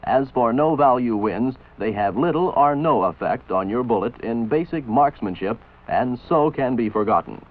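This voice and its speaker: An American man reading scripted instructional narration for a training film in a measured, authoritative, didactic manner. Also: medium pitch, expressive. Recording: tinny band-limited old film soundtrack. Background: voice-over for an old military training film.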